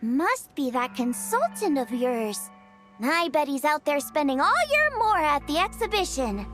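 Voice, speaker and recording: Game casting: tiny childlike fairy sidekick, squeaky, piercingly high, animated and chatty, music behind. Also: female voice, unhurried, exaggerated voice. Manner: exaggerated, refers to herself in third person. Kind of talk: scripted video game voice acting.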